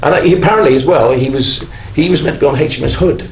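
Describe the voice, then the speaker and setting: A middle-aged man chatting casually as a panel guest, halting and often restarting his sentences in an informal way. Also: on the high side, a little mellow. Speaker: guest actor on a fan convention panel. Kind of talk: casual live panel chat. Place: convention stage in a hall. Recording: echoey live stage recording, faint noise.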